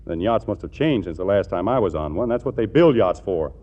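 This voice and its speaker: An actor playing an exasperated, jealous husband in a 1940s radio comedy, sarcastic and indignant. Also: male voice, low voice, lively intonation, fairly quick, dark tone, slight background noise. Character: exasperated, jealous husband posing as wife's brother. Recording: muffled old 1940s radio recording.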